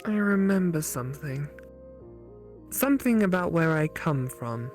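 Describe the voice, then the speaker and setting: A woman's voice: sits low, animated, a little slow, a little mellow, soft background music. A woman voicing an earnest, curious female AI companion in a visual novel, with a quizzical, wondering manner. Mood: wistful.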